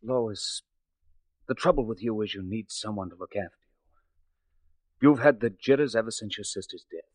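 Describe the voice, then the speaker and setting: An actor playing a protective, earnest young boyfriend in a theatrical 1940s radio drama style. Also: male voice, on the low side, moderate pace, long pauses, darkish tone, dry recording, close to an everyday voice. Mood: concerned, protective.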